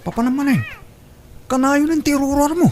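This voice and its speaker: A woman's voice: high-pitched, normal speed, close to an everyday voice. A woman acting an evasive, jealous lover in an audio drama, with a Filipino accent. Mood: frustrated. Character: Boni, evasive, jealous lover short of money. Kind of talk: Ilocano radio drama acting.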